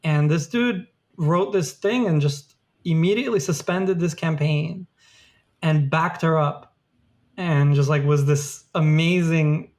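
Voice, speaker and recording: A man with a slightly high voice co-hosts a casual podcast. His talk is loose and chatty, full of "like" and "um" as he goes along. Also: slow pace, darkish tone. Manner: loose, filler-heavy talk with frequent "like" and "um". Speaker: podcast co-host. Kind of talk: casual political chat.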